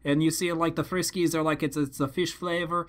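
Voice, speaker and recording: Man putting on a high-pitched naive husband. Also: flat delivery, natural voice. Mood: naive, earnest. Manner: halting, repetitive, mock-innocent questioning. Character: foreign husband, naive new cat owner with broken English. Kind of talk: prank phone call.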